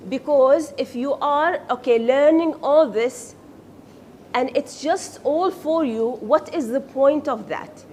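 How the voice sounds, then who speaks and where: A woman's voice: high voice, slow pace, warm tone, slight background noise. A woman whose first language is Arabic, teaching a class and quizzing her students, then praising their answers. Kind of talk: classroom lecture with questions.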